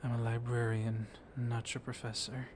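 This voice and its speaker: A man voicing a civil, softly dominant, centuries-old vampire librarian in an ASMR roleplay, hushed and often slipping into whispers. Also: mid-range voice, normal speed, close to an everyday voice. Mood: soft, flirtatious. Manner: hushed, breathy, often whispering, teasing with soft chuckles. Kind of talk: ASMR roleplay, intimate one-sided dialogue. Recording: noisy storm ambience behind voice. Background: thunder and steady ambient noise.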